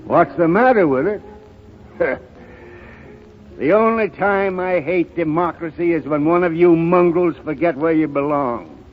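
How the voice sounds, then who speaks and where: A man's voice: a little high, a little slow, faint music behind, natural voice. An actor in 1930s radio drama style, playing a proud, haughty, hard-drinking middle-aged lawyer and father with a theatrical, expansive manner. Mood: scornful. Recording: muffled vintage 1930s radio broadcast.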